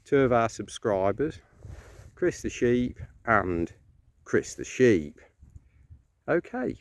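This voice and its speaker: A man's voice: mid-range voice, sing-song, slow. A man with an English accent co-hosting a walking vlog, chatting and explaining the countryside like a local guide. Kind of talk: casual chat with local guide commentary. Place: outdoors on a country lane. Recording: outdoor phone recording with clear background noise.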